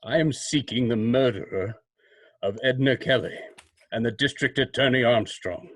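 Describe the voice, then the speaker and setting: An actor playing a sly, menacing invisible crime fighter in a radio drama, speaking slowly and formally with a mocking edge. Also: male voice, mid-range voice, no room sound, lightly acted. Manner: slow, deliberate, mocking, formal. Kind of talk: old-time radio drama acting.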